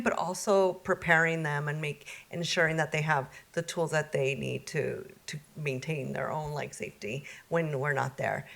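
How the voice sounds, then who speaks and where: A woman police commissioner giving remarks at a public meeting, halting, with many fillers. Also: lowish voice, creaky, fairly bright tone.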